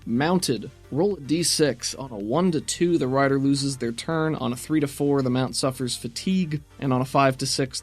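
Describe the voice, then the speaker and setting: A man with a mid-pitched voice works as game master for a tabletop role-playing game. He talks casually and describes the action in detail, with music playing behind him. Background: background music.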